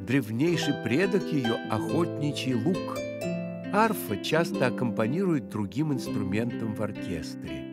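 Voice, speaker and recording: A man voicing a courtly, learned grasshopper who guides children through a cartoon, with expressive, measured storytelling delivery. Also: mid-range voice. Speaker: narrator and guide. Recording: music playing behind the voice.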